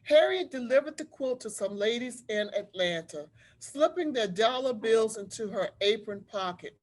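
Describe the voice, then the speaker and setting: A woman reading a storybook aloud to children, explaining things simply and deliberately like a school librarian. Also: a little high, expressive. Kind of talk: read-aloud storytelling with teacherly asides.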